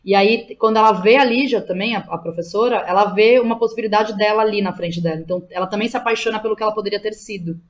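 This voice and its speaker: A woman with a São Paulo Brazilian accent speaking as a guest playwright, telling stories in a casual, slangy, animated way and often quoting herself. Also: medium pitch, fast talker, few pauses. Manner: animated storytelling, slangy, quotes herself and others. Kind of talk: casual conversational interview.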